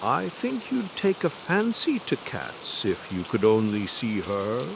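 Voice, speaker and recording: A man's voice: on the low side, huge pitch swings, slow pace, vocal fry, background noise, pitched below the performer's own voice, full character voice. A man voicing a polite, eager, chatty little girl in a storybook reading, soothing and apologetic. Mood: dreamy, fond. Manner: soothing, hesitant, gushing.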